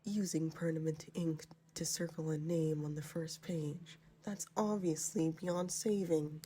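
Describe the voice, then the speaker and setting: Woman acting a low, husky, cool-headed scholar. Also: fairly even intonation, measured pace, mellow, soft background music. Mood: dry, composed. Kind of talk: fan-dub game character voice acting.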